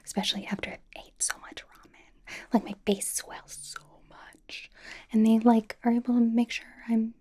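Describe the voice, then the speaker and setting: A woman playing a bubbly, nervous young K-pop idol in an ASMR roleplay, whispering softly in a chatty, rambling, reassuring way. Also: on the high side, little pitch movement, moderate pace, close to an everyday voice. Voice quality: soft, breathy whisper.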